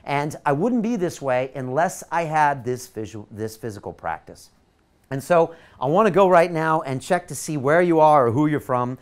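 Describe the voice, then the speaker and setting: A man's voice: on the high side, lively intonation, moderate pace. A man from California, hosting an online masterclass and leading a motivational guided visualization with eager, coaxing energy.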